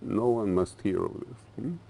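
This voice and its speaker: An actor playing a menacing, paranoid middle-aged Soviet dictator, theatrical and commanding, in a game cutscene. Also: male voice, lowish voice, gravelly, dark tone. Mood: brooding, grandiose. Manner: theatrical, slow, deliberate, commanding. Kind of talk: dramatic game cutscene acting.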